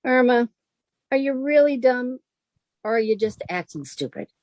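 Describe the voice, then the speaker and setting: A woman's voice: a little high, animated, normal speed, a little mellow, no echo, highs cut off, close to an everyday voice. A woman playing a sensible, exasperated roommate in an old-time radio comedy, with a wry, patient delivery. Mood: dry exasperation.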